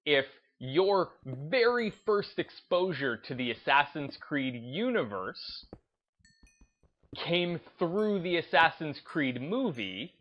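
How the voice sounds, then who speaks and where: A man giving opinionated, unscripted commentary as a video host, stressing his points emphatically and deliberately with short declarations. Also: fairly high pitch, sing-song, lo-fi sound.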